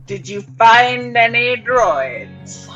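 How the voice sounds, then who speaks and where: A woman playing a menacing, commanding male dark lord in an amateur table read of a space film. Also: a little high, sing-song, very slow, muffled recording, faint music behind, full character voice. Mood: cold, commanding.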